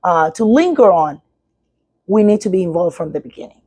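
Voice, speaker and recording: A woman's voice: lowish voice, expressive, moderate pace, a little mellow, slightly rough, no room sound. A middle-aged woman from Nigeria whose first language is Yoruba, speaking as an educator guest in an interview and giving earnest advice to parents. She repeats her points for emphasis.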